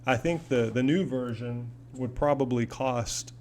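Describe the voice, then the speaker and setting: A man's voice: medium pitch, unhurried. A man chatting casually and enthusiastically in a rambling, folksy way, like a hobbyist showing off gear at home. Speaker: hobbyist video host. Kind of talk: casual product demo and chat. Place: indoors in an apartment. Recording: noisy home recording with audible hum.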